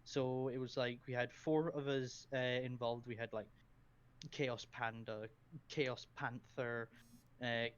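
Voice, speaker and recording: A man's voice: mid-range voice, level tone, takes it slowly, smooth. Rambling Scottish man, slightly muffled. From Scotland. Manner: rambling, hesitant, lots of ums and giggles. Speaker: podcast guest, streamer. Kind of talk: casual chat, anecdotes.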